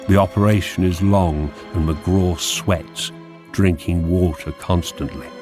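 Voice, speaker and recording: A man narrating a radio drama, reading scripted scene descriptions in a measured, descriptive way. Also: low voice. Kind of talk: scripted screenplay narration in present tense.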